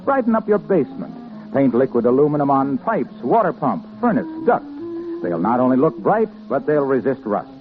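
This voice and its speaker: A man's voice: mid-range voice, sing-song, moderate pace. A man announcing in a scripted 1950s radio style, with a polished salesmanlike delivery. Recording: tinny 1950s radio recording, music behind. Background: music.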